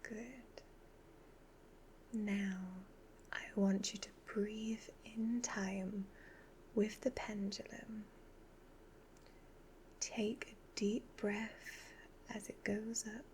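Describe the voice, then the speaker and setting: A woman playing a sly, commanding evil witch fortune teller in a soft-spoken ASMR roleplay, half-whispering slow, measured instructions. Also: medium pitch, flat delivery, a little hiss or hum. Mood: soothing, commanding.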